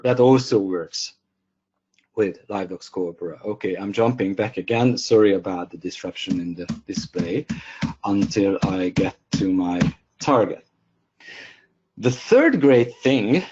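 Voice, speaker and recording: A man whose first language is Hungarian presents a software webinar, pausing often with fillers and restarting sentences. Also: medium pitch, lo-fi sound. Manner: hesitant, many fillers and restarts.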